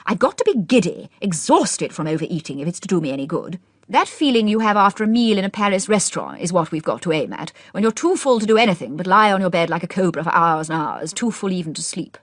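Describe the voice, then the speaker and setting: A woman doing a fussy, petulant gentleman. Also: on the low side, big pitch swings, fast, dry recording, clean studio sound. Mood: cross. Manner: petulant, complaining. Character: fussy middle-aged man obsessed with his diet.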